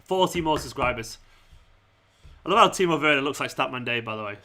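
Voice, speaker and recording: A man's voice: medium pitch, animated, a little fast, pushed and bright. A man hosting a football watchalong livestream, chatting casually and matily to viewers, his voice starting to go. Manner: informal matey address, often saying "man", "mate" and "pal". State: losing his voice. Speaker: fan channel livestream host.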